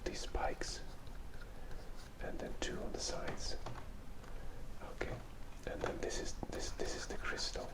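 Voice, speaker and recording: A person whispering in a breathy, musing way while drawing, recorded close on a phone mic for a casual ASMR video. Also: measured pace. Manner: hesitant, musing, thinking aloud. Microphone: phone mic taped close to the mouth. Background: soft pen and tablet writing sounds.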